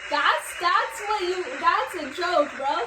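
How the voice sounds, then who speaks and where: A woman's voice: extremely high pitch, expressive, takes it slowly, reverberant, recorded from a distance, background noise. A woman giving casual, slangy reaction commentary as the host of a video. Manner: informal, slangy.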